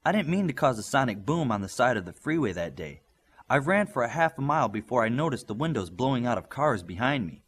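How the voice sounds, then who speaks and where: Man playing a reassuring, husky young superhero boy. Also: mid-range voice, sing-song, quick pace, heavily acted. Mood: earnest, reflective. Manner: reassuring, explanatory. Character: fast young boy superhero, encouraging and matter-of-fact.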